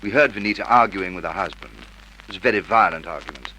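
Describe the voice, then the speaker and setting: Earnest murder witness, low bright voice, precise delivery, vintage radio drama. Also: male voice, animated, fast talker. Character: male film actor, accusing murder witness. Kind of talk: Golden Age radio drama acting. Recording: noisy old radio drama recording.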